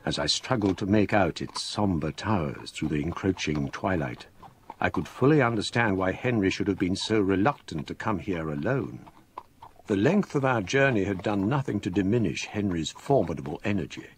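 A scholarly English gentleman narrates a radio ghost story. His low voice moves with lively intonation through measured, literary sentences, over a clearly noisy recording. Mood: brooding.

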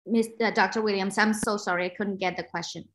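Female panelist, middle-aged, mid-range pitch, heartfelt and emphatic on a video call. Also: fairly even intonation, fairly quick, fairly bright tone. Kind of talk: personal account on a virtual panel. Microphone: computer mic on video call.

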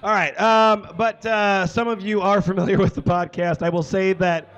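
A young man with a high voice works a small curtained stage as host. He jokes loosely and swears, talking into a handheld mic. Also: flat delivery, moderate pace, fairly bright tone, lo-fi sound. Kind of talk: live comedy show introduction.

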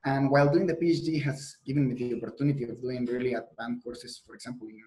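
A man's voice: mid-range voice, fairly even intonation, fast, warm tone, rough recording. A young man from Mexico, a native Spanish speaker with a Mexican Spanish accent, answering interview questions earnestly over a video call, with frequent restarts and fillers. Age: young adult. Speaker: guest, PhD student. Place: indoor room on a video call.

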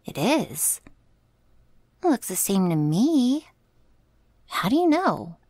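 Audio roleplay: actress as anxious female vampire's servant, highish lively voice, hesitant modern slangy delivery. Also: slow pace, no echo, exaggerated voice. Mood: worried. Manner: hesitant, colloquial, questioning.

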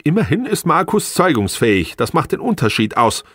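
A man's voice: mid-range voice, lively intonation, normal speed, husky, no room sound, studio quality, voice pushed up. A man voicing an angry, demanding estranged wife with biting, indignant emphasis in an audiobook. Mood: venomous, furious. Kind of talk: audiobook character dialogue.

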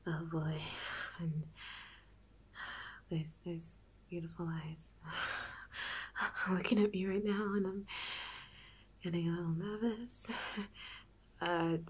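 A woman playing a nervous, giddy young woman in an ASMR roleplay, stammering softly and often whispering in a halting, giggly way. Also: on the low side, heavily acted. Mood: nervous, shy. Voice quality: soft, breathy, often whispered. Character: nervous, giddy young woman before and after first kiss.

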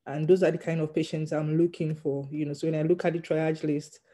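A doctor in training, a non-native English speaker, answering interview questions in a hesitant, measured way with many ums. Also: medium pitch, level tone, warm tone. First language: not English. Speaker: GP trainee interviewed about passing an exam.

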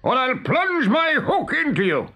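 A daring young storybook hero apes a commanding pirate captain. The calls are high, swaggering and stretched out, with a very animated swing in pitch. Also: male voice, measured pace, exaggerated voice. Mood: bold, commanding. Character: bold boy hero mimicking a pirate captain's commanding bellow. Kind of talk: dramatized children's storybook reading. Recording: music behind.

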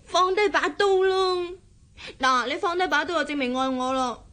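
Radio drama wife: high girlish voice, Hong Kong Cantonese, jealous, sulky, coquettish. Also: moderate pace, fairly bright tone. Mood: pouty. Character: jealous young wife, pouty, coquettish and childlike.

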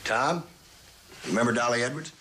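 An actor playing a cocky, wisecracking novelist and charmer in a jaunty, teasing, theatrical style of old television drama. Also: male voice, medium pitch, normal speed, natural voice. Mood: wry, flirtatious. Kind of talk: 1960s television drama acting. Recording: old TV soundtrack, faint hiss.

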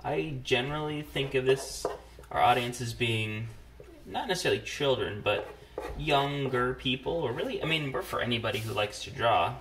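A man's voice: medium pitch, lively intonation, moderate pace. A middle-aged man chatting casually as he hosts a drawing session. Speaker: host of a family drawing channel. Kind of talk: casual chat while drawing. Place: indoors at a wooden table. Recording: noisy home recording with clicks.

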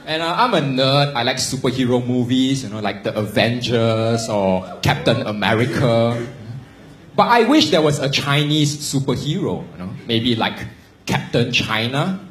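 A young man from Singapore, doing a stand-up set on a club stage into a handheld mic, delivering punchlines slowly and deadpan. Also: medium pitch, crowd noise. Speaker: stand-up comedian. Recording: echoey club, audience noise behind.

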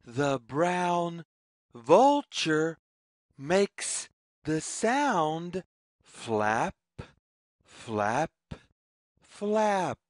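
A storyteller reading a children's read-along story in deliberate, sing-song phrases with pauses. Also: mid-range voice, very animated, no echo, studio quality. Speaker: read-aloud narrator.

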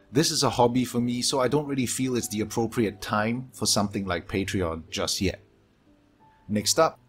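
A man from Singapore with a Singaporean English accent, reading a scripted gaming Q&A voice-over in a steady, measured way from his home hobby room, his s sounds sharp and a low hum behind him. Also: breathy edge. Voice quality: strong sibilance, low hum from aquarium pumps. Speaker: gaming YouTuber.

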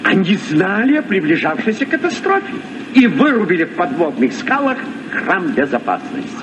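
Pompous, high-pitched scientist, noisy recording. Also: male voice, huge pitch swings, moderate pace, distant mic. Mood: lecturing. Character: dry, proud old scientist, discoverer of Atlantis. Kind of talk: Soviet radio drama acting. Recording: noisy, muffled old radio recording.

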